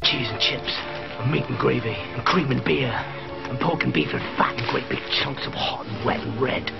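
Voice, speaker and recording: An actor playing a man gloating hungrily over food in a gravelly voice, in a television drama. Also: medium pitch, moderate pace. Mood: ravenous, relishing. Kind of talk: dramatic acting in a TV drama clip. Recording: muffled clip with loud music.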